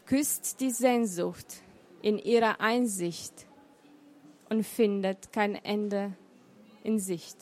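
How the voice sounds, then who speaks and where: A middle-aged woman whose first language is Romanian, with a Romanian accent, reading her poems in a deliberate, emotional way. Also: fairly high pitch. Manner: slow, deliberate, emotional recitation. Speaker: poet and author. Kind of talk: poetry reading.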